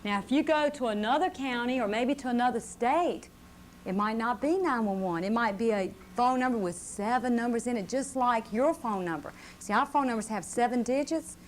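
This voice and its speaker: High-voiced woman teaching children. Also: normal speed. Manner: simple explanations with checking questions. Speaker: fire safety educator. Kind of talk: lesson to a live audience of children. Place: live puppet show presentation. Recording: faint noise on VHS tape.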